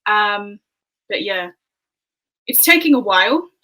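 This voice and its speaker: A woman's voice: mid-range voice, lively intonation, slow, pushed and bright. A woman, an early childhood educator, talking modestly and casually as a podcast guest over a video call. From Australia. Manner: modest, friendly, many "um"s. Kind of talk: casual interview chat.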